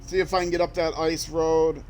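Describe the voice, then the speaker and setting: A man's voice: on the high side, level tone, moderate pace, a little mellow, slight background noise. An older man from Minnesota with a Minnesota accent, rambling and self-deprecating as he streams a driving game. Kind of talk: casual gameplay commentary.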